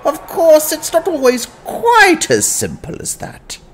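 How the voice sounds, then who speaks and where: A man's voice: extremely high pitch, wildly swooping pitch, slow pace, a bit bright, exaggerated voice. A man voicing a jovial sports commentator with a folksy, theatrical showman's delivery in a parody broadcast. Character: jovial male sports commentator explaining brutal fantasy game.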